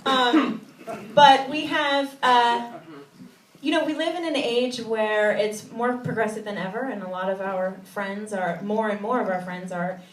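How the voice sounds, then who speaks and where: A woman's voice: a little high, steady tone. Female cabaret performer, casual spoken intro, thinks aloud; roomy live recording with faint noise. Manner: informal and halting, thinking aloud. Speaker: cabaret singer introducing a song to the audience. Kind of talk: casual live stage banter. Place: live cabaret stage in a theatre. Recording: echoey room, distant audience recording, faint noise.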